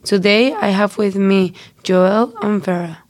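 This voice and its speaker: A woman's voice: medium pitch, a little slow, a little mellow. Young Spanish-born woman hosting a podcast. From Spain. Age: young adult. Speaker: host. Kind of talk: student podcast, read from notes.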